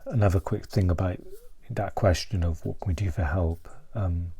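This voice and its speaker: Very deep, subdued man, hesitant. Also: warm tone, slightly rough. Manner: hesitant, halting, searching for words. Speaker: mental health vlogger. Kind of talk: confessional vlog monologue.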